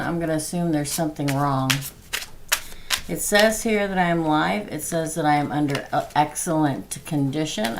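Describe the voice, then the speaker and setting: Hoarse woman host, unhurried, soft-spoken, lower voice, faint noise. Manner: soft-spoken, slow and chatty. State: hoarse, losing her voice. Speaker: tarot reader hosting a livestream. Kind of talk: casual livestream chat.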